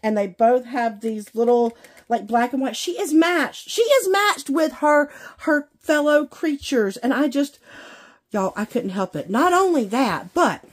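A woman's voice: high voice, lively intonation, measured pace. A woman chatting casually to viewers as a craft vlogger, in a gushing, exclamatory, folksy way. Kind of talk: casual unboxing chat to viewers. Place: indoors at a craft table.

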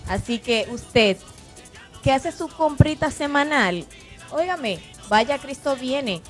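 A woman's voice: high voice, animated, takes it slowly. A woman co-hosting a TV show, chatty and upbeat. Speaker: TV talk show co-host. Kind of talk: casual studio chat and ad reads. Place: TV studio set. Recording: TV broadcast with background music.

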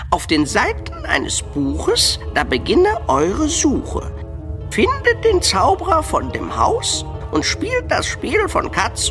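High-pitched swooping eccentric aunt. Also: female voice, full character voice. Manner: theatrical, gushing. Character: eccentric dreamy aunt who loves music and fairy tales. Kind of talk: children's radio play acting. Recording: music behind the voice.

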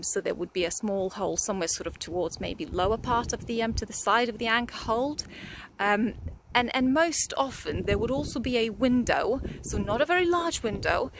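A woman in her thirties talks through a casual vlog outside in a country churchyard, her voice at a middling pitch. She stumbles and restarts often, padding her sentences with fillers. The phone held at arm's length catches clear background noise. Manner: chatty and hesitant, with many restarts and fillers. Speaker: vlogger.

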